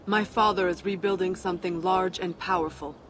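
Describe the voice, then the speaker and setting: Woman doing a proud fire nymph for a game, lowish voice, haughty and formal, bit of noise. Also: fairly even intonation, a little fast, lightly acted. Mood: serious. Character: proud, sardonic female fire nymph, rebel daughter. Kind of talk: video game cutscene acting.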